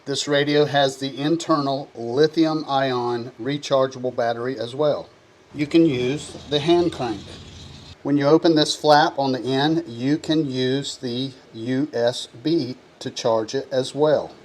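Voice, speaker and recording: A man presenting a product review, explaining features one by one in a plain, steady way. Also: mid-range voice, measured pace, slight background noise.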